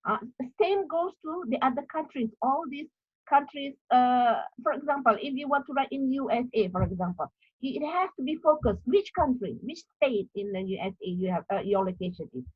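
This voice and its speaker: Malaysian woman lecturing, husky, muffled. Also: high-pitched, lively intonation, normal speed, no echo. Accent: Malaysian English. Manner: repetitive, explanatory, many fillers. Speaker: university lecturer. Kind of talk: academic talk on writing articles.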